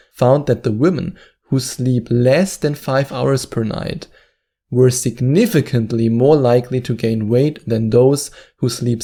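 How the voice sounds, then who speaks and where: German man with a mellow voice. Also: mid-range voice. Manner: earnest, emphatic, repeats key points. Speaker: weight-loss video host. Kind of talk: direct-to-camera advice talk.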